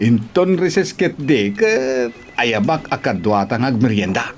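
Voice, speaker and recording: A man with an Ilocano accent playing a teasing, excitable buddy from work in an audio drama. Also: medium pitch, takes it slowly. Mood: playful teasing. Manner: playful, exaggerated teasing.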